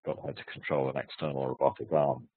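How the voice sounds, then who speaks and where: Very deep hoarse man on a webinar. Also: little pitch movement, fast talker. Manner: hesitant, many restarts and fillers. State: hoarse from hay fever. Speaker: research presenter. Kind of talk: webinar talk and Q&A answers. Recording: tinny phone-like webinar audio.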